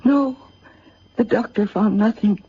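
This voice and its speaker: A woman acting a gentle, earnest, bewildered young woman in an old-time radio drama, with theatrical delivery. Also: mid-range voice, measured pace, a little hiss or hum, natural voice. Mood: entranced, uneasy. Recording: muffled vintage radio drama recording. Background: music.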